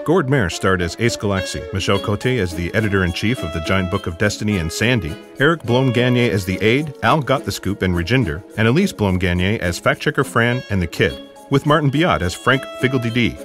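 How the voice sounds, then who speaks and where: A man reading scripted closing credits as the announcer of an audio drama. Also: lowish voice. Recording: music bed behind voice.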